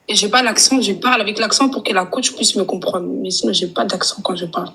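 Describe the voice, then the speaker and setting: A woman from France calling in, speaking in a halting, resigned way with short confirmations. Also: medium pitch, vocal fry, sharp tone, husky. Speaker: caller.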